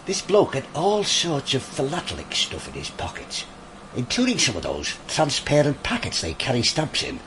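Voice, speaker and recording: A man's voice: mid-range voice, wildly swooping pitch, normal speed, noisy background. A man acting a dogged, wry police inspector in a British radio drama, with a colloquial, slangy British delivery. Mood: keen, sardonic.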